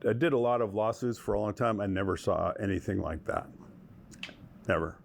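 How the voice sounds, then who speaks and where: A man, a lawyer, recounting an old case in a documentary interview, measured and acting out the dialogue he quotes. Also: lowish voice, creaky.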